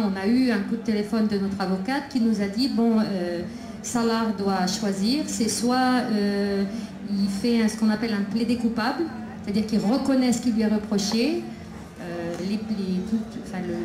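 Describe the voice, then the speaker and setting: A woman speaking at a festival debate about her imprisoned son, talking haltingly with many pauses and restarts. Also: medium pitch, noisy background. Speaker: prisoner's mother, invited speaker. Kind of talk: public talk at a debate. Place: outdoor festival debate tent. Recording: echoey PA with crowd ambience.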